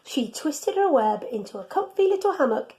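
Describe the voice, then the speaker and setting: A woman reading a children's storybook aloud in an expressive, teacherly way. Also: high voice, normal speed.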